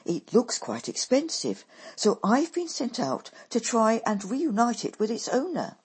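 Woman voicing an awkward courteous young man, slow and breathy, storybook reading. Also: a little high, wildly swooping pitch, darkish tone, pitched above the performer's own voice, full character voice. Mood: polite.

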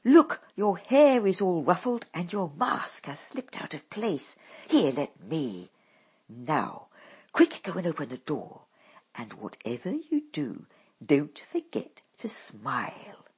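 A woman's voice: deep voice, very animated, slow pace, slightly rough, exaggerated voice. A woman reading a storybook aloud, voicing a bossy, scornful stepmother witch who snaps orders at her daughters. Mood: impatient.

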